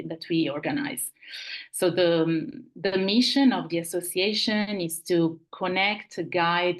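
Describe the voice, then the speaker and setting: Italian woman hosting a webinar, low-pitched. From Italy. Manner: halting, with many restarts and searching for words. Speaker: webinar host and project manager. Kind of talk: online webinar presentation. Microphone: video call.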